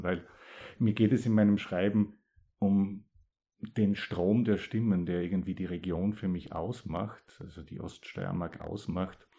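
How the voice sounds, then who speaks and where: A man from East Styria with an East Styrian Austrian accent, a poet reading his dialect poems and then talking about them thoughtfully, with pauses. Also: low voice, creaky, darkish tone, dull audio. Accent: East Styrian Austrian German.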